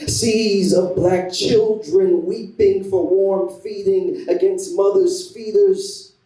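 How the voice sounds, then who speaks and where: A man's voice: sits high, fairly even intonation, slow, warm tone. A man giving a solemn recital of spoken word poetry. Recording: echoey room, distant microphone.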